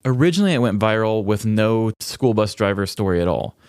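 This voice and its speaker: A man's voice: fairly low pitch, lively intonation, a little fast, a little mellow, clear, resonant tone, dry recording, studio quality. A man co-hosting a podcast, telling stories in casual chat and acting out quoted lines as he goes. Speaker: podcast co-host.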